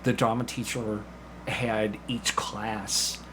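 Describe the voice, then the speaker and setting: A man's voice: lowish voice, little pitch movement, takes it slowly, a bit bright, a little hiss or hum, poor audio. A man chatting casually as an interview guest, telling stories and acting out bits of dialogue. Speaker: podcast guest, community theatre actor. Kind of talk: casual anecdotal interview chat.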